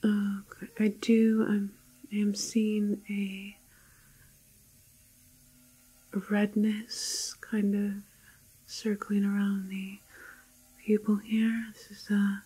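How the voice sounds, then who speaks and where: A woman playing a calm, clinical doctor in a soft, often whispered ASMR roleplay, speaking in a reassuring, halting way. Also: mid-range voice, slightly rough, low-quality recording. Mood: clinical, careful. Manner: hesitant, reassuring, slow and halting. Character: calm, clinical female doctor examining a quarantined patient.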